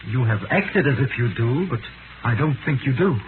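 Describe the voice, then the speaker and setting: An actor playing a polite, sober, reserved young gentleman in a 1930s radio drama, speaking with formal restraint. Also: medium pitch, expressive, normal speed, lightly acted. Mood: cool, courteous. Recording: tinny, noisy 1930s radio broadcast recording.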